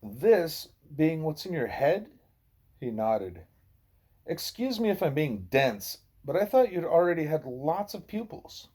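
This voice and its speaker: A man's voice: on the high side, sing-song, a little slow, darkish tone, breathy edge. A man reading a novel aloud as an audiobook narrator. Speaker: audiobook reader.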